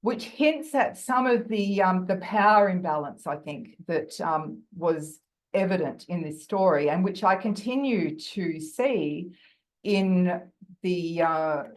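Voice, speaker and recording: Woman, croaky, lowish pitch, academic talk, video call, halting with fillers. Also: low-quality recording. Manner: thoughtful, many fillers and restarts. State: croaky from bronchitis. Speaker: guest lecturer.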